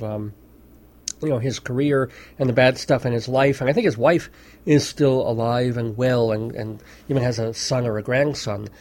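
A man's voice: medium pitch, darkish tone. A man hosting a radio show, talking in a wry, mock-serious way with amused asides. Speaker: radio host. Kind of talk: casual radio commentary. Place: radio studio.

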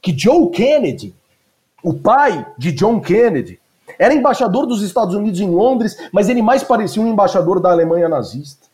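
A man's voice: high voice, big pitch swings, normal speed, a little mellow. A male teacher giving a lecture, digressing with frequent asides and fillers as he talks. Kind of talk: lecture discussion.

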